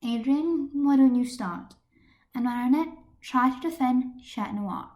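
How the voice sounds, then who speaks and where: A woman's voice: high voice, unhurried, darkish tone, voice pushed up, low-quality recording. A woman voicing a firm, orderly female schoolteacher with an instructive manner, in an amateur story reading. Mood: directing.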